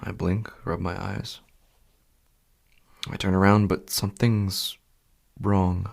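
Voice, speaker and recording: A man playing an uneasy, sleepless husband in a horror podcast, telling his story slowly and haltingly in a hushed, gravelly, husky voice. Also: low voice, flat delivery, exaggerated voice. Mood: tense, bewildered. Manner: hushed, deliberate, halting with long pauses. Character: uneasy, sleepless husband haunted by faint night sounds. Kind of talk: slow first-person horror podcast narration.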